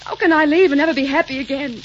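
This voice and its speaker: A woman's voice: high voice, fast, natural voice. A woman acting a proud, lovelorn young captive in a 1940s radio melodrama, earnest and theatrical in delivery. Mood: pleading, hurt. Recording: dull, hissy 1940s radio recording.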